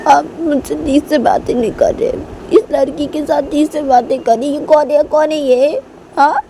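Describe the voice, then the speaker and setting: A woman's voice: high-pitched, steady tone, moderate pace, mellow, slight background noise, voice pushed up. A woman voicing an angry, jealous girl in a told story, whining and firing repeated demanding questions. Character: angry, jealous, sulking girl confronting her mother and rival.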